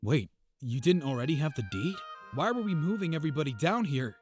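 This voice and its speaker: A man's voice: a little high, lively intonation, fairly quick, a little mellow, soft background music, close to an everyday voice. A man voicing an eager, respectful and curious rebel soldier in a visual novel. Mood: eager, puzzled.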